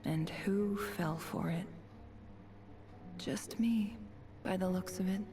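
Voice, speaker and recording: An actress playing the bitter, self-possessed daughter of a desert chief in a video game, speaking in a deliberate, cutting, controlled way. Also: female voice, medium pitch, background noise, lightly acted. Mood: bitter, accusing. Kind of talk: dramatic video game monologue. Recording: music behind.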